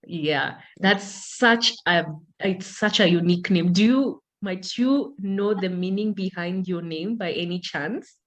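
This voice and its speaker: A woman's voice: fairly low pitch, measured pace, few pauses, low-quality recording. A woman hosting an interview show, chatting casually and affirming her guest often over a video call. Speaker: podcast host.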